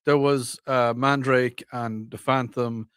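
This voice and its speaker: An Irish man co-hosting a casual pop culture podcast, talking excitedly and repeating himself when worked up. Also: medium pitch, takes it slowly, smooth, dry recording, studio quality. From Ireland. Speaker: podcast co-host. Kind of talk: casual chat.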